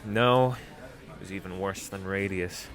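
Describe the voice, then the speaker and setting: A man's voice: sits low, a little slow, a bit bright. A man playing a nervous, reluctant young alien team leader, hesitant and long-suffering, in a comedic audio drama. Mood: dejected.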